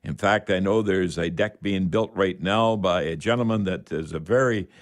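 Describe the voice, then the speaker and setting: A man's voice: low voice, normal speed. An older man hosts a radio call-in show, chatting in a folksy, rambling way with old-fashioned expressions. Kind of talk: casual home-improvement call-in radio talk.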